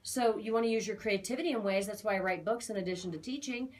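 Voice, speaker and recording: A woman's voice: medium pitch, fast talker, a little mellow. A woman giving a conversational spiritual reading as an aura reader, talking in a chatty, emphatic, assured way.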